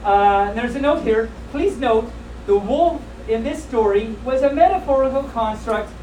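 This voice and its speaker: A woman with a fairly high voice hosts a live storytime in a playful, joking way. She moves between reading aloud and chatty asides. She is recorded at a distance in an echoey room with clear background noise. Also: unhurried. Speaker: live storytime host at a fan convention. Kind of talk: reading a comic children's story aloud to an audience, with casual asides. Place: indoor convention room with audience. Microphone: distant camera filming a live event.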